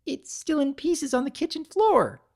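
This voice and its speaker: A woman voicing an excitable, tender-hearted young female cartoon pony baker, effusive, earnest and girlish. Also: high-pitched, moderate pace, voice pushed up. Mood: distressed.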